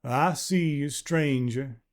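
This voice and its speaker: A man's voice: medium pitch, takes it slowly, pitched above the performer's own voice, close to an everyday voice. A man doing a wary old frontiersman. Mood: guarded. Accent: odd near-Southern drawl. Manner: gruff, clipped, old-fashioned folksy speech. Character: wary weathered older frontier man, armed.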